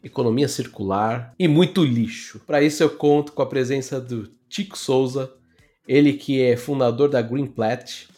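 A man's voice: medium pitch, normal speed, dark tone, soft background music. A male podcast host welcoming a guest and asking friendly guiding questions. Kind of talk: podcast interview.

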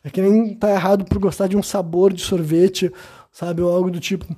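A man's voice: high-pitched, level tone, fairly quick, dark tone, husky. A man from Brazil hosting a casual solo podcast, rambling with constant "sabe?" tags and hesitant restarts. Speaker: podcast host. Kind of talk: casual monologue.

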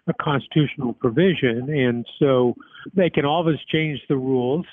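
A man's voice: medium pitch, moderate pace, breathy edge, no echo. Male expert, filler-heavy, heard over a thin, dry phone line. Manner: hesitant, many fillers. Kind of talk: interview clip.

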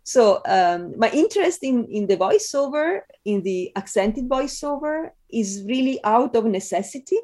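A middle-aged woman from Italy with an Italian accent, giving conversational interview answers with frequent ums and pauses. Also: on the high side, sing-song, a little mellow. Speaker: interview guest, film scholar.

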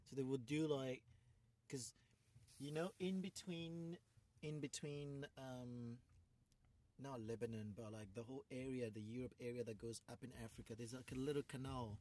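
A man's voice: mid-range voice, fairly even intonation, normal speed. Rambling accented male podcast host. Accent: non-native English accent. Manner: rambling, restarts often, laughs loudly. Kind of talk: casual chat.

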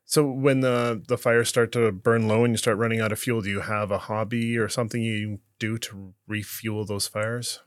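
A man's voice: fairly low pitch, fairly even intonation, moderate pace, few pauses, dry recording, studio quality. A man hosting an interview podcast, asking questions in an easygoing way with short replies in between. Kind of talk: casual interview conversation.

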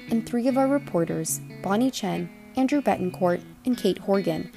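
A young woman anchoring a radio news piece in scripted narration. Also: fairly low pitch. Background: guitar music.

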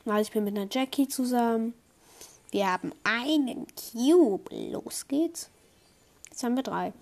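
A young woman commenting on her own online match, excitable, swinging from low muttering to high squeals. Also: a little slow. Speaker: gamer streamer. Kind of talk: live gameplay commentary.

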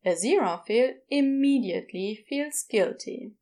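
A woman's voice: on the high side, lively intonation, moderate pace, mellow. A woman narrating a scripted story in an audiobook style.

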